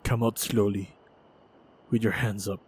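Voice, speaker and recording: A man playing a wounded, stoic monster hunter in a fantasy audio roleplay, speaking in a measured, halting, guarded way. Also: lowish voice, fairly even intonation, darkish tone, husky. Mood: wary. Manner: measured, halting, guarded delivery with heavy sighs. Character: wounded, battle-hardened lone male monster hunter, wary, stoic. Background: faint ambient sound effects.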